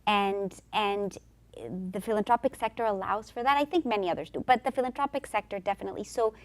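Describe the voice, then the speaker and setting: A woman's voice: medium pitch, fast. A woman from Mexico, a panel guest, speaking emphatically and repeating phrases for effect. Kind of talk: discussion panel answer.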